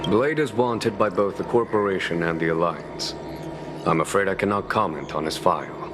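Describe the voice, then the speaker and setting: An actor playing a calm, shrewd general in a video game, speaking in a measured, formal and diplomatic way. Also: male voice, low voice, over music, natural voice. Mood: gracious, assured. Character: calm, courteous, shrewd male general commanding a starship's knights. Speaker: military leader. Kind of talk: scripted video game dialogue.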